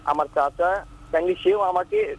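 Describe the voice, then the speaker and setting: A man's voice: high-pitched, huge pitch swings, normal speed, vocal fry, low-quality recording. A young man calling an advice show, hesitant and pleading, often repeating himself. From Bangladesh. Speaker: caller.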